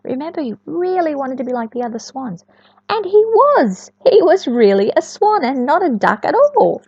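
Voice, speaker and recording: A woman storyteller reads to small children in a fairly high, very expressive voice. She adds chatty asides and repeats words for emphasis. The recording is close and dry, slightly dull. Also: moderate pace, dry recording. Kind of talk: picture-book storytelling to young children.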